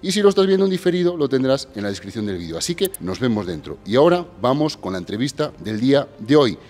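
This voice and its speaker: A man's voice: medium pitch, fast. A man in his thirties hosting, speaking straight to viewers with energy, holding a handheld mic in a large gym hall. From Galicia. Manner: energetic, direct address to viewers. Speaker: host. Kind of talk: presenter pitch and interview. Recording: reverberant hall with background music.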